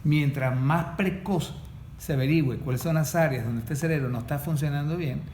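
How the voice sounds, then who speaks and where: A man with a slightly raised voice explains things calmly, in a clinical way. He speaks as a guest specialist. A faint hum sits behind him. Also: a little high, normal speed. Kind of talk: podcast interview.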